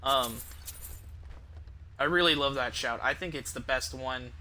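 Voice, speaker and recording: A man's voice: mid-range voice, unhurried, sharp tone, slight background noise. A man giving casual, rambling gameplay commentary in a hesitant, profanity-prone way. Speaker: game streamer.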